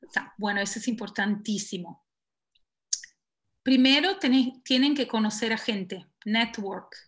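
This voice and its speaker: A woman's voice: fairly high pitch, unhurried. A woman, a guest in an online panel, telling her own story casually, with many fillers and self-corrections. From Argentina. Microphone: video call.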